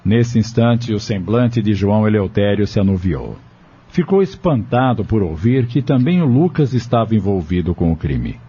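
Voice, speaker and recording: A man narrates an audio novel in Brazilian Portuguese. His voice is fairly low and dark, with a husky grain. The recording is somewhat dull. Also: sing-song, moderate pace. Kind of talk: scripted audio drama narration.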